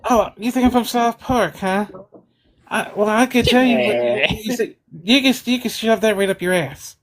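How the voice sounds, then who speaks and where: A woman in a heated political argument in an online voice chat, lecturing sarcastically and sometimes slowing her words down on purpose. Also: a little high. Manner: sarcastic, lecturing, deliberately slow at times.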